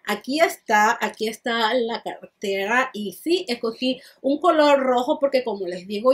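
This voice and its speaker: A woman's voice: fairly high pitch, slightly rough. A woman in her thirties doing a casual unboxing review, chatty and often repeating words as she shows the bag, filmed close to the camera in a small room. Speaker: beauty and fashion vlogger. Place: small indoor room, plain backdrop.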